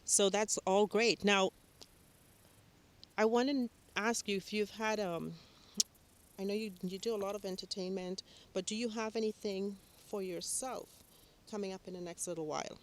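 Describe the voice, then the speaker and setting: A woman's voice: mid-range voice, steady tone, moderate pace. A woman interviewing a musician, friendly and affirming as she asks open questions.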